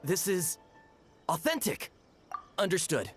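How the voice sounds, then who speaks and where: A man's voice: high-pitched, big pitch swings, slow, heavily acted. A man playing an officious palace guard in a video game, speaking formally. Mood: deferential. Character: officious male palace guard, stern then deferential. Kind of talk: English video game voice acting. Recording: game music clearly behind.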